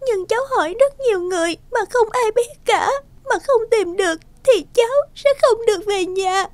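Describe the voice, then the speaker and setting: A woman voicing a gentle, kind young orphan girl in a cartoon fairy tale, plaintive, earnest and polite. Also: very high-pitched, normal speed, no echo. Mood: tearful.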